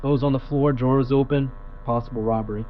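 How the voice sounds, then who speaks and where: Patrol officer on muffled police radio. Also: male voice, medium pitch, steady tone, fairly quick. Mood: official, matter-of-fact. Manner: clipped, official, matter-of-fact. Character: procedural patrol officer reporting a scene to dispatch. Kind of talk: audio drama police radio report. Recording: muffled police radio, humming static noise.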